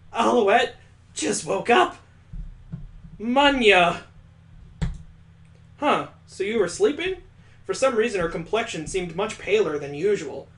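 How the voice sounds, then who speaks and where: A playful man reads a visual novel aloud and breaks in with jokes as the let's play host. His voice sits a little high for a man. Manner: playful, joking asides.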